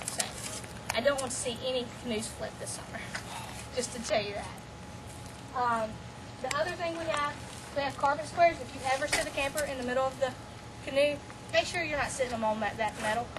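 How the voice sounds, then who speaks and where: A woman instructing camp counselors outdoors by the water, practical and brisk, raising her voice so the group can hear. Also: high voice. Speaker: camp staff trainer. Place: outdoors at a lakeshore. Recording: loud outdoor background noise.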